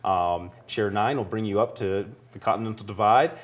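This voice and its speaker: A man's voice: fairly low pitch, moderate pace. A man in his thirties giving a casual, promotional interview as a spokesman in a TV studio, easygoing and a little salesy. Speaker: ski area spokesman, TV guest. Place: small TV studio, seated on couch.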